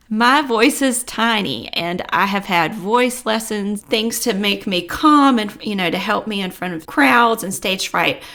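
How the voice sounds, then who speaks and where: A woman's voice: mid-range voice, unhurried, a bit bright. A middle-aged woman hosting a personal vlog, speaking earnestly and encouragingly to viewers in a reflective way, close to a desk microphone at home. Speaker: vlog host. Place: living room at home. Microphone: large desk microphone, close.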